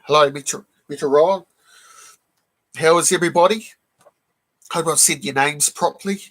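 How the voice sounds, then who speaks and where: A man hosting a casual live stream, croaky from a cold, who keeps clearing his throat and greets viewers in the chat one by one. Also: a little high, normal speed, fairly bright tone. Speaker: live stream host showing comic books.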